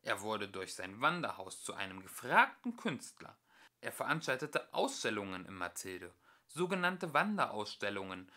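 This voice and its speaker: A young man reading a children's storybook aloud as an amateur narrator, with expressive storytelling. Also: mid-range voice.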